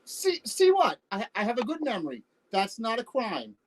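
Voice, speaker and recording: A woman's voice: on the high side, huge pitch swings, husky, heavily acted, poor audio. Woman doing an older husband in a play, defensive, swoops up and down, trips over words when cornered. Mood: defensive, evasive. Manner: halting, defensive, repeats words. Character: older husband, Vietnam veteran, defensive, exasperated, evasive. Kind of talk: virtual staged play reading, naturalistic dialogue.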